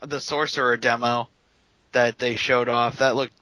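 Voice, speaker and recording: Bright-voiced man chatting about games. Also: medium pitch, flat delivery, measured pace, no echo, low-quality recording. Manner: opinionated, talks at length with frequent restarts. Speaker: podcast co-host. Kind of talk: casual gaming chat.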